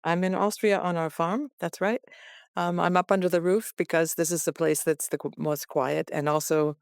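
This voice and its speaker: An American woman in her sixties talks in a chatty, easy way and laughs often. Her voice is smooth and clear. The sound is dry and close. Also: lowish voice, fairly even intonation, normal speed, no room sound. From West Los Angeles, USA. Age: 60s. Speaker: guest. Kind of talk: conversational interview. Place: attic room of a farmhouse.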